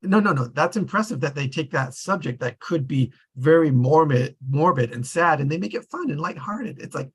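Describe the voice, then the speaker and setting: A man's voice: a little high, expressive, normal speed, hardly any pauses, husky. A man hosting a casual group discussion in a tentative, rambling way. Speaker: discussion host.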